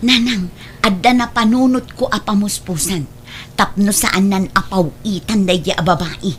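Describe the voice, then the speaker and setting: A woman's voice: medium pitch, normal speed, slight background noise, natural voice. A woman playing a resentful, meddling elder sister in an Ilocano family drama. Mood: indignant, plotting. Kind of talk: Ilocano radio-style family drama acting.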